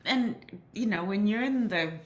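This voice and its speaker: A woman's voice: medium pitch, normal speed. A woman from Southern Africa, a guest telling her story in earnest with many restarts. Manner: earnest, with frequent restarts and "you know". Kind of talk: reflective interview storytelling. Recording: roomy echo.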